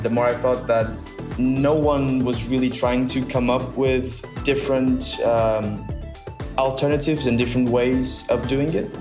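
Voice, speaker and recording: A young man from the south of Portugal, a founder answering interview questions thoughtfully with many pauses and restarts. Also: medium pitch. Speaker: startup founder, guest. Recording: roomy sound with music bed.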